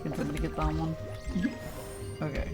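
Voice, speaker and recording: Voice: mid-range voice, monotone, a little fast, over loud music. A young adult live streamer giving casual, informal, self-deprecating commentary while playing a game. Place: indoor live stream with game music behind.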